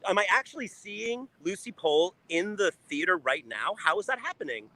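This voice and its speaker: High, bright-voiced man rambling casually. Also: sing-song, normal speed, dry recording, low-quality recording. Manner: rambling, thinking aloud. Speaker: live show host. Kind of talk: casual improvised chat.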